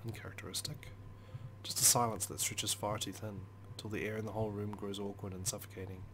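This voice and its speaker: A man's voice: lowish voice, level tone, a little fast, a bit bright, a little hiss or hum. A man hosting a let's play, reading a visual novel's story aloud as dramatic, brooding narration.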